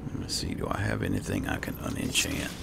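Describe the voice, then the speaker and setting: A man's voice: extremely low pitch, expressive, brisk, creaky, very noisy. A man giving roleplay commentary on a video game in muttered asides, playfully talking to his companion in character. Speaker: gameplay host.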